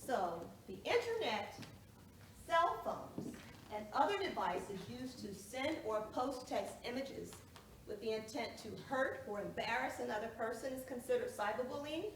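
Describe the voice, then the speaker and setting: A probing female lawyer played by a woman examines a witness in a courtroom play, her fairly high voice moving up and down expressively. The recording is distant and roomy, and she keeps a formal manner. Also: expressive, measured pace. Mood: pointed, probing. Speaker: actor in a one-act play. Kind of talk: stage courtroom drama acting. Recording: echoey room, distant mic, noisy.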